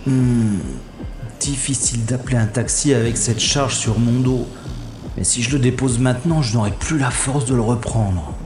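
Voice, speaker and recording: A man's voice: mid-range voice, moderate pace, close to an everyday voice. A man playing a cocky, wry special agent who narrates his own scrapes theatrically in a science-fiction audio drama. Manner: theatrical, slangy, self-narrating. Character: wry, cocky male special agent, talking himself through trouble. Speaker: lead actor in an audio drama. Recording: music under the voice. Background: music.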